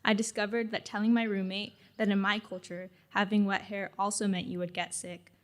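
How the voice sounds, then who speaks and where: A woman's voice: medium pitch, level tone, fairly quick. Earnest teenage girl giving a speech. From Mexican-American family in California. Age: late teens. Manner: reflective, earnest, reading from a script. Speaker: graduating student speaker. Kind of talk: prepared ceremony speech.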